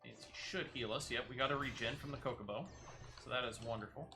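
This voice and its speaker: A man's voice: mid-range voice, fairly even intonation, fast, vocal fry, background noise. A man giving casual gameplay commentary, explaining his moves step by step as he plays and offering tips to viewers. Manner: explains step by step, talking through own actions. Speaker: game streamer and player.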